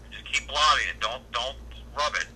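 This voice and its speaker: A man's voice: medium pitch, moderate pace, crowd noise. An American man giving practical advice over the phone as a service worker, patient, matter-of-fact and plain-spoken. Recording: telephone line audio.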